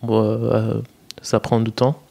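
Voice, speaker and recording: A man's voice: fairly low pitch, monotone, slow pace, vocal fry, mellow. A man from Iran, a guest telling his story in French, hesitant, with many restarts and fillers. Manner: hesitant, many restarts and "euh", mixes in English words. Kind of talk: personal testimony in conversation.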